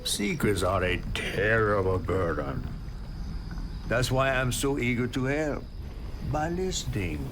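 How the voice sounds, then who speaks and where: A man playing a folksy storyteller in a video game, with a Southern US accent and an expressive way of talking. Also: fairly low pitch, takes it slowly, noisy background. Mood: sly, easygoing. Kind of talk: storybook-like game narration and dialogue. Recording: music behind.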